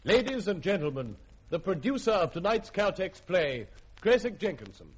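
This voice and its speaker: A man's voice: high-pitched, big pitch swings, moderate pace, noisy background. A man announcing as a formal, theatrical radio compere in 1950s style.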